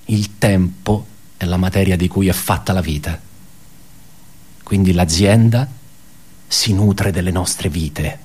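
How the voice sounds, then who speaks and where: Brooding office worker, lowish voice, raspy edge, halting, repetitive, anguished, pedantic, radio drama monologue. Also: male voice, darkish tone. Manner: halting, repeats words, rhetorical questions.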